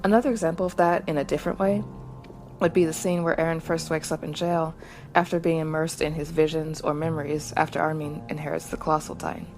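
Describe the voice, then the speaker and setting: A woman hosting a podcast, giving thoughtful solo commentary in a measured, reflective way with small digressions. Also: deep voice, little pitch movement, darkish tone, soft background music. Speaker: podcast host reacting to anime.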